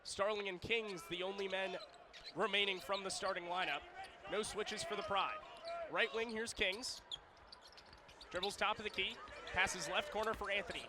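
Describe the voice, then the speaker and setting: A man doing radio basketball play-by-play in clipped, descriptive phrases, punching up the big plays. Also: high-pitched. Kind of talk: radio sports play-by-play commentary. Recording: noisy arena with bouncing basketballs.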